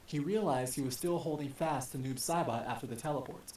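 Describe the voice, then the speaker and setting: A man narrating an action story dramatically, with a deliberate, literary reading style. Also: medium pitch, darkish tone. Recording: echoey, distant mic with hiss.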